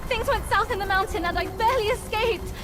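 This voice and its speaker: An actress playing a helpless, pleading young damsel in a video game, melodramatic and beseeching. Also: female voice, very high voice, a little fast. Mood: plaintive, grateful. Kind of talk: video game cutscene acting. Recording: music behind the voice.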